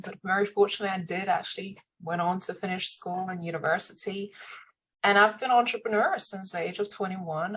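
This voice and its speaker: A woman from Lithuania speaks English with her native accent in a slightly low, husky voice. She is an earnest interview guest, talking in a conversational, motivational way. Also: fairly bright tone, poor audio. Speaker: podcast guest, entrepreneur.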